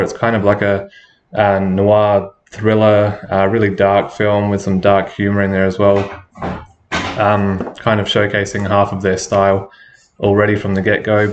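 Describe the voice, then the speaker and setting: A man's voice: fairly low pitch, a little hiss or hum. A man doing casual film-ranking commentary, a little under the weather, rambling with many ums and restarts. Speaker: film reviewer. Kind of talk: casual tier-list commentary.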